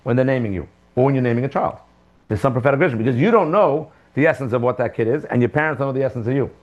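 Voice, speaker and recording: A man teaching a Torah class to students in a classroom, speaking at a middle pitch. He is animated, asking rhetorical questions and jumping suddenly in pitch and loudness to press each point. His pace shifts between quick runs and slow, stressed words. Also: muffled recording. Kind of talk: lively Torah class lecture.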